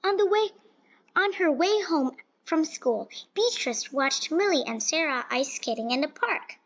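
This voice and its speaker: A woman's voice: high voice, expressive, measured pace. A woman reading a children's picture book aloud, expressively but with small stumbles and repeats. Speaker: storybook reader. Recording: dull, lo-fi sound.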